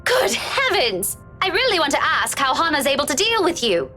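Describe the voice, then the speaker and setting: A woman's voice: high-pitched, sing-song, normal speed, bright, forceful tone, faint music behind, full character voice. A woman voicing a feisty, blunt young woman in a visual novel, speaking indignantly. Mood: exasperated. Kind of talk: dramatic game voice acting.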